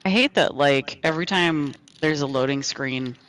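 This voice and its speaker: Bright-voiced chatty man in his thirties. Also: a little high, moderate pace, slight background noise. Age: 30s. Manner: chatty, rambling with many fillers. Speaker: fellow player in voice chat. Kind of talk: casual gaming chat.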